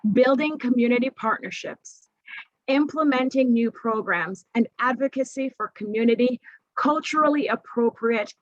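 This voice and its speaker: A woman moderating a panel on a video call, reading out a speaker introduction in a formal, measured way. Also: fairly high pitch, no room sound, low-quality recording.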